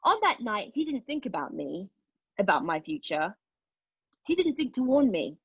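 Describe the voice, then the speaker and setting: A woman acting a dramatic monologue as a wounded, reflective woman, telling her story intimately with pauses and emphasis. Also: medium pitch, sing-song, breathy edge, dry recording, lo-fi sound. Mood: bitter, wounded. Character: wounded, reflective woman recounting lost love and travel. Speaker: actor in a play table read.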